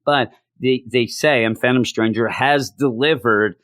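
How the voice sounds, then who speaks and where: A hoarse man reviewing a comic book, ranting in exasperation and repeating his complaints for emphasis. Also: mid-range voice, unhurried, a bit bright, dry recording, clean studio sound.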